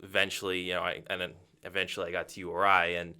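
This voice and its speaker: Young male sports broadcaster from Massachusetts, very low, bright and crisp voice, measured answers. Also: completely flat delivery. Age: 20s. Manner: measured and thoughtful, frequent "um" and "you know". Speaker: radio play-by-play broadcaster. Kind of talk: interview answers.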